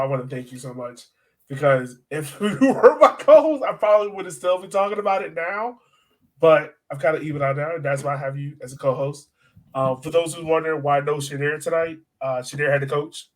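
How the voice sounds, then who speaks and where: A man co-hosting a sports podcast, chatting casually in informal, slangy language and often turning to his co-host. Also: on the high side.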